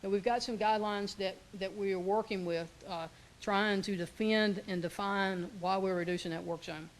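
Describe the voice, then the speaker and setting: A man's voice: high-pitched, steady tone, normal speed, a little hiss or hum, poor audio. A man giving an informal conference presentation, with many fillers and self-corrections. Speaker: presenter, transportation official.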